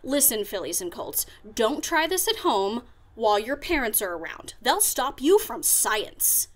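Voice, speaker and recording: A woman's voice: a little high, very animated, a little slow. A woman playing a brash, mischievous young female pony who hosts a radio show in an audio drama, with a playful, cheeky delivery. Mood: playful mischief. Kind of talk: fan audio drama acting.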